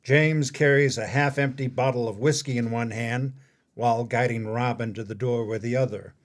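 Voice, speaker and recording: A man reading scripted announcements and stage directions as a narrator, in a formal, measured way. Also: medium pitch, fairly even intonation.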